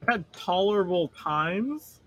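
A man co-hosting a casual chat, telling stories in an animated, joking way and laughing mid-sentence. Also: sits high, unhurried, dry recording, dull audio, faint music behind. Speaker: co-host.

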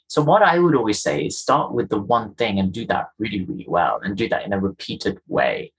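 A man's voice: on the low side, big pitch swings, fairly quick, a bit bright, husky, rough recording. A man from Kenya, a guest in an interview, explaining his ideas earnestly, often in lists of rhetorical questions. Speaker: guest, culture and inclusion executive.

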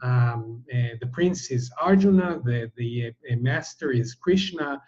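Man, middle-aged, husky mellow voice, hesitant guest with lots of ums, muffled recording. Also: mid-range voice, lively intonation. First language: Hebrew. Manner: halting, many fillers and restarts. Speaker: guest, translator. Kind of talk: interview answer. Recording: dull, muffled sound.

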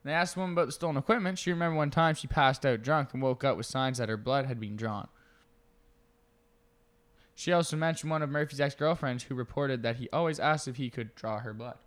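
A man's voice: medium pitch, brisk. A young man co-hosting a casual comedy podcast, rambling, swearing and laughing often as he reads out headlines. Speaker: podcast co-host.

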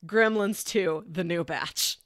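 A woman's voice: lowish voice, slow, no room sound. Enthusiastic woman chatting, close dry sound. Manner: enthusiastic, emphatic, uses casual asides. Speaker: podcast co-host. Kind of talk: casual chatty movie pitch.

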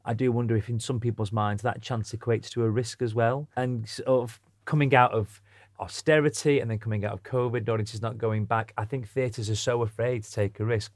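A man's voice: fairly low pitch, no room sound, studio quality. A man with a British accent chatting thoughtfully as an interview guest, an actor and writer. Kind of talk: casual, thoughtful interview chat.

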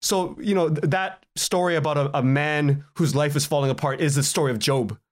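A man speaking casually as a podcast guest, in a halting, rambling way, often repeating words and cutting himself off. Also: fairly high pitch. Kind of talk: casual interview conversation.

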